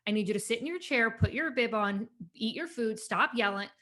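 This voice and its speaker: A woman's voice: on the high side, expressive, fairly quick, fairly bright tone. A young adult woman hosting a casual podcast interview, talking conversationally and often affirming her guest. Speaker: podcast host.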